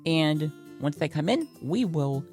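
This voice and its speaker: A woman giving a casual, informal unboxing demonstration as a product reviewer, speaking haltingly with vague, everyday wording. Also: low-pitched, lively intonation, darkish tone, soft background music.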